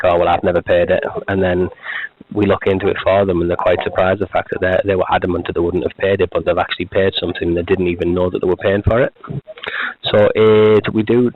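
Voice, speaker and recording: Brief: croaky male telemarketer, low flat delivery, tinny phone line. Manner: rambling, repetitive sales pitch with many restarts. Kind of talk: sales phone call. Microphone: telephone.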